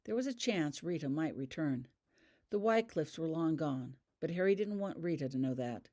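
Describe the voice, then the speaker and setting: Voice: mid-range voice, lively intonation, normal speed, mellow. An author reading their own fan fiction as scripted audiobook narration.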